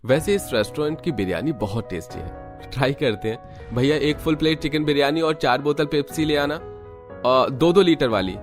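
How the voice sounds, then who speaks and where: A man's voice: on the high side, moderate pace, over music, pitched above the performer's own voice, close to an everyday voice. A man playing an eager, chatty and oblivious young suitor in a cartoon comedy. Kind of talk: Hindi cartoon comedy acting.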